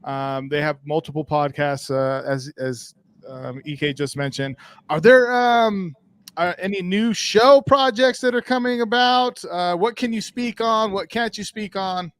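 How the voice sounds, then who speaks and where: Upbeat man hosting, crisp dry voice. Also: high voice, a little slow, fairly bright tone, no echo. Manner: upbeat, plugs channels and asks questions. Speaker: host. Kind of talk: livestream podcast hosting.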